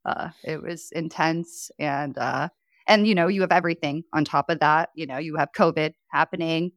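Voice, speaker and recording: Brief: woman from Chicago, podcast guest, chatty and filler-heavy, lowish voice, dry close mic. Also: moderate pace, no echo. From Chicago, United States. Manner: chatty, frequent fillers and laughs. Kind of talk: casual storytelling interview.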